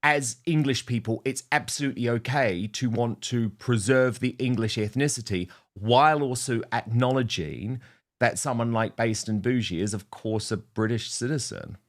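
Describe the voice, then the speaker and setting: A man's voice: mid-range voice, moderate pace. A man hosting a political talk show, asking his guests leading questions and affirming their answers earnestly. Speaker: show host.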